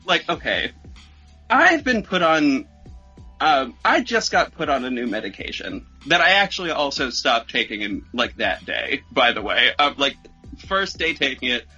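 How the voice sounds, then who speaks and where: A man's voice: fairly high pitch, husky, highs cut off. A man telling a story casually on a podcast, swearing freely and acting out what people said. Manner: expressive, acts out quoted remarks. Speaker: podcast speaker.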